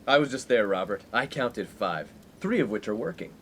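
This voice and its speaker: A man's voice: mid-range voice, big pitch swings, normal speed, a bit bright, slight background noise. A man playing a brash young college friend in a comic radio play, pompous, wordy and playful. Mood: coaxing. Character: brash, inappropriate young male college friend, mock-formal prankster. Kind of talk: 1950s-set comic radio play acting.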